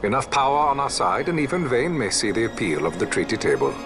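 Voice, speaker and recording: A man's voice: medium pitch, sing-song, lightly acted. Guy doing a noble rebel leader from a fantasy game, formal old-timey wording, music playing behind. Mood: firm, calculating. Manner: measured, stately, archaic phrasing. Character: grave noble marquis, shrewd resistance leader narrating his war.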